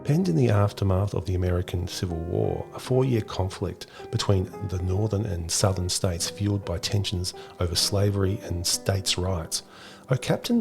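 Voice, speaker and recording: A man's voice: on the low side, creaky, a little mellow. A man hosting a podcast, reading scripted educational narration. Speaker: podcast host.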